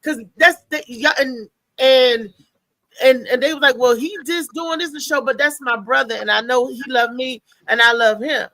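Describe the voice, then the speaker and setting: A woman's voice: high voice, normal speed, bright, forceful tone, no room sound, poor audio. A woman hosting a casual talk show, insistent, repeating her words as she makes her point and quotes others. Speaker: show host. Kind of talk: casual chat.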